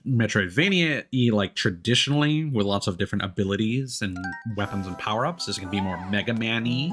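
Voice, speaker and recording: A man streaming a video game, thinking aloud in casual, rambling commentary while he plays. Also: on the low side. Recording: game music behind the voice. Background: video game music.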